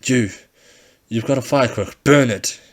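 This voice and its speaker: A man's voice: mid-range voice, moderate pace, voice pushed up, natural voice. A man voicing a menacing, foul-mouthed schoolboy gang leader who spits threats and orders, in an amateur audiobook reading. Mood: alarmed, angry. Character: menacing, foul-mouthed schoolboy bully ringleader, easily rattled. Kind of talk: amateur fan fiction audiobook character voice.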